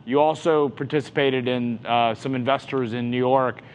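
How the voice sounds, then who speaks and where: A man's voice: mid-range voice, fairly even intonation, normal speed, a little hiss or hum, low-quality recording. A man hosting a conversational interview in an informal, familiar way. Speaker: show host and interviewer.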